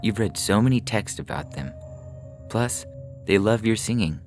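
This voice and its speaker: A man plays a devoted spaceship mother in an audio story. She is tender yet firm and keeps her dread hidden, pitched above the reader's deep narration, over faint music. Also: normal speed, close to an everyday voice. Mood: firm, reassuring. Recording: music behind the voice.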